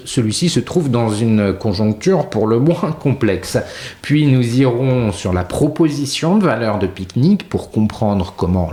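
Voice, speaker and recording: A man hosting a podcast interview, talking with lively, expressive intonation. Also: medium pitch. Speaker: podcast host. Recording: roomy echo.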